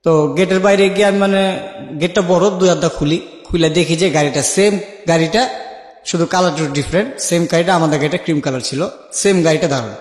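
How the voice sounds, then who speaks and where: Man, Bangladeshi accent, slightly high voice, conversational storytelling with re-enacted dialogue, reverberant recording. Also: brisk. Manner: acts out remembered dialogue while narrating. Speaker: guest telling their life story. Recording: echoey with long reverb.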